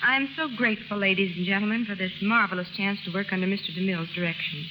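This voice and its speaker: A woman's voice: mid-range voice, quick pace. A young woman from the US Pacific Northwest, speaking as an actress guest in a scripted 1930s radio interview. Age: young adult. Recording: noisy 1930s radio recording.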